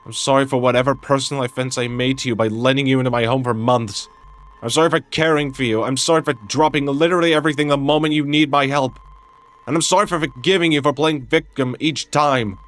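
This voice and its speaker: A man's voice: medium pitch, expressive, fairly quick, close to an everyday voice. A man playing a wounded, volatile young man in a drama, a possessive friend who pleads, accuses and guilt-trips with emphatic delivery. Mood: furious, bitter. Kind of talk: dramatic dialogue acting.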